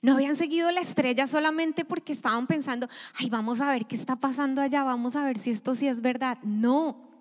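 Woman preacher, higher voice, emphatic with sudden hushes, long church reverb. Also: brisk. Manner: emphatic, swells loud then drops to a hush. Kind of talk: sermon. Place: church sanctuary.

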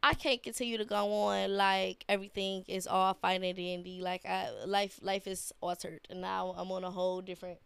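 A woman's voice: medium pitch, flat delivery, gravelly, fairly bright tone, clear, resonant tone, no room sound. Young woman podcast host, stuffy nose, slangy and laid-back, real dry close mic. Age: young adult. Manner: slow, laid-back slangy talk with fillers. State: congested from a sinus infection. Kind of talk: casual solo podcast talk.

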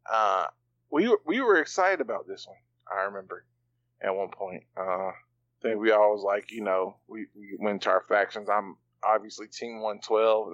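A man co-hosting a casual podcast, rambling along with fillers and slang. His voice sits a bit low. The recording is muffled and lo-fi. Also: fairly bright tone, no echo. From part Bahamian. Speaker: podcast co-host. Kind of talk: casual chat.

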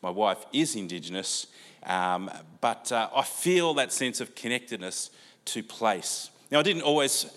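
An older Australian man giving a sermon in a relaxed, conversational way with many fillers, heard in a church hall with long reverb. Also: mid-range voice, measured pace. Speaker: preacher.

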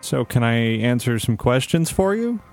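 A man plays a mechanical seer with a devil's head in a comic radio play. He is glib and chatty, dealing out fortunes as wisecracks. His pitch moves around a lot, with light music underneath. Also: very animated, normal speed, a little mellow. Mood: glib, breezy. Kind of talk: amateur comedic audio drama acting.

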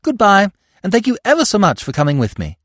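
A man reading aloud who voices a spirited, impulsive young woman, lifting his voice high and talking breathlessly. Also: wildly swooping pitch, fast talker, a bit bright, no echo, clean studio sound, exaggerated voice. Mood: grateful. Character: eager young woman, spirited, then shaken.

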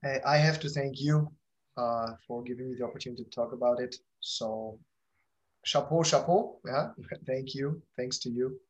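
Man, middle-aged, German accent, average pitch, casual interview guest, halting phrasing. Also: poor audio. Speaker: podcast guest, company founder.

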